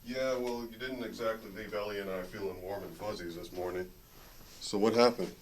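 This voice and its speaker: A man's voice: lowish voice, steady tone, brisk, background noise, natural voice. A man playing a laid-back, droll friend who teases with mock drama in an audio drama. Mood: casual, friendly. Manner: easygoing, droll, informal. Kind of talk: naturalistic audio drama acting.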